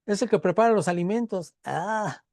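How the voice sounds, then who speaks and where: Mexican man lecturing, dark dry voice. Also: high-pitched, normal speed, no echo. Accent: Mexican Spanish. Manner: conversational, acts out patient dialogues, frequent fillers and tag questions. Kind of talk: university lecture.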